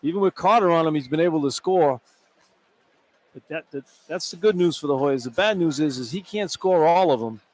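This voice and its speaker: A man's voice: on the high side, expressive, a little fast. An American man giving informal, conversational basketball analysis as a radio color commentator, sometimes repeating himself. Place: broadcast position inside a large indoor arena. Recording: live arena broadcast with background noise. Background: arena ambience and noise.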